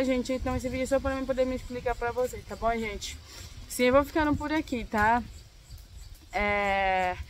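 A young woman in her twenties vlogging to the camera outdoors in her backyard. She is hoarse and congested with a cold, and talks softly and unhurriedly, pausing often and adding tag questions. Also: on the high side, slight background noise. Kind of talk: casual talk to camera. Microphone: phone or camera close up.

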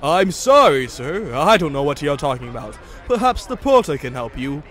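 A man voicing a grand, polite talking steam engine with a hearty, booming manner in a storybook tale. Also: big pitch swings, moderate pace, a bit bright, a little hiss or hum. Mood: puzzled, polite. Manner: courteous, hearty.

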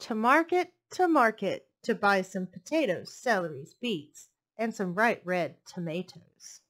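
A woman's voice: mid-range voice, expressive, measured pace, fairly bright tone. A woman in her thirties reading picture books aloud to children in a playful, sing-song rhythm on a headset mic. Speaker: librarian reading storytime. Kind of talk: expressive picture-book read-aloud for children. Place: living room, indoors.

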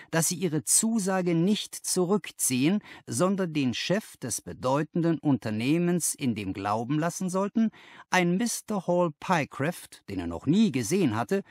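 A man voicing a confident, analytical gentleman detective who lectures emphatically in an audiobook, posing rhetorical questions. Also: fairly high pitch, dry recording, clean studio sound. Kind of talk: audiobook character dialogue.

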